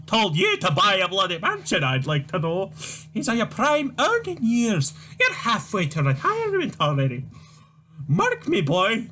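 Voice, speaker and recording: A man reading a comic aloud, voicing a nagging old mother in a high, quavering, scolding voice. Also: pushed and bright, a little hiss or hum, full character voice.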